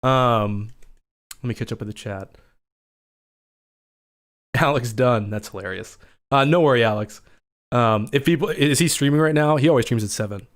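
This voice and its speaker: A young man with a slightly scratchy voice hosts a relaxed livestream. He chats loosely with his viewers and reads their comments aloud as they come in. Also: mid-range voice, normal speed, long pauses. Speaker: livestream host. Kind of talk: casual livestream chat.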